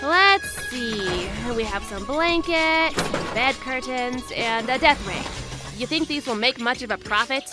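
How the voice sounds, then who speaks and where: Woman as cool female bird rogue, high voice, dry, exasperated, businesslike, audio drama, music underneath. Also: lively intonation, slow pace. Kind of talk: amateur audio drama acting.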